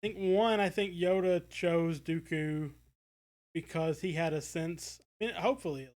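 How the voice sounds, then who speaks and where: Slightly high-voiced man, hesitant podcast chat. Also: moderate pace, darkish tone. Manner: hesitant, thinking aloud with many restarts. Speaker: podcast co-host. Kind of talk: casual discussion.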